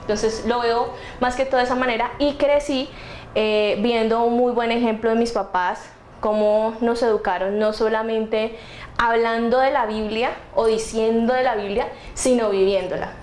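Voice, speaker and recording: A woman's voice: fairly high pitch, fairly even intonation, normal speed, a little mellow, slight background noise. A woman with a central Colombian accent from around Bogotá, answering as an interview guest in an earnest, conversational way. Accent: Colombian, central Andean around Bogotá. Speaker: interview guest speaking about faith. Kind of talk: casual conversational interview, personal explanation. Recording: roomy echo with music behind.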